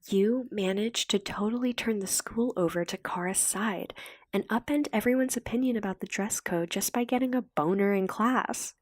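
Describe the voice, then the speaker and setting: A woman voicing an earnest, insistent teenage girl with a breathy, pleading manner in an audiobook. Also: mid-range voice, normal speed, no echo, clean studio sound, natural voice.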